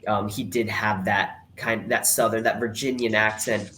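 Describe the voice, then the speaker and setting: A man's voice: lowish voice, fairly even intonation, moderate pace, pushed and bright, a little hiss or hum. A man with a slight Rhode Island accent, co-hosting a podcast and explaining things in earnest detail. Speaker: podcast co-host. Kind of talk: casual podcast chat.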